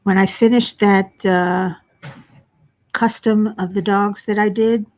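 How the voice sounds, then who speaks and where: An older woman hosting a casual livestream from home, chatting and answering viewers, her voice at times a little low and raspy from a frog in her throat. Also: steady tone, slow, a little mellow. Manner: chatty, self-deprecating, reads chat names aloud. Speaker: livestream host. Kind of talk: casual chat reading viewer comments. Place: home workspace.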